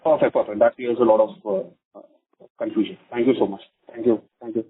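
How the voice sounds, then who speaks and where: A man's voice: on the low side, steady tone, fairly quick, slight background noise. A man with an Indian accent asking questions as an analyst on an earnings call, hesitant and repeating his words. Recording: dry, narrow-band phone line.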